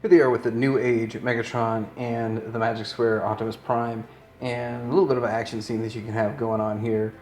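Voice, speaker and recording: A man's voice: medium pitch, level tone, fairly quick, darkish tone. A man presenting an unscripted product review, rambling in an easygoing way as he thinks aloud while handling items, with music behind him.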